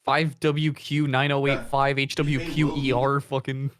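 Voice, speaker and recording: A man's voice: medium pitch, level tone, measured pace. A man in casual gaming chat, talking in a slangy, profane way. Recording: game music behind.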